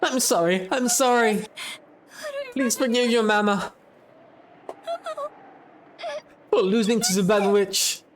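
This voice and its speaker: A man's voice: extremely high pitch, lively intonation, measured pace, a bit bright, a little hiss or hum, pitched above the performer's own voice, exaggerated voice. A man voicing a pleading, tearful mother. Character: anxious, remorseful mother.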